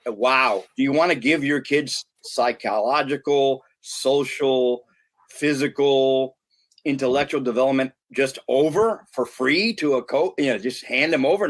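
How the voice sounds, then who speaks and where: Middle-aged american guy on a podcast, earnest, keeps restarting his sentences. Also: on the high side. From United States. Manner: earnest and emphatic, with frequent restarts. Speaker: guest, youth sports consultant. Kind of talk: podcast interview talk.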